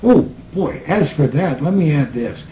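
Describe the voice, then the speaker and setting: A man talking slowly and reflectively to a small group in a room, recorded on tape, with laughs between his remarks. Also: a little high, lively intonation, dark tone, low-quality recording. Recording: old tape recording with faint hiss.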